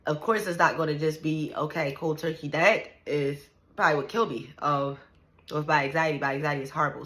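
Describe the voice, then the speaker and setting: A woman in her early thirties, talking straight to her viewers and venting about her life. She is blunt and profane and fills her talk with "like." Her voice sits a little low but comes across bright and forceful. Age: 30s. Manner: blunt, profane and animated, trailing "like" and "you know what I'm saying". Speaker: vlogger. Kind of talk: casual venting to the camera.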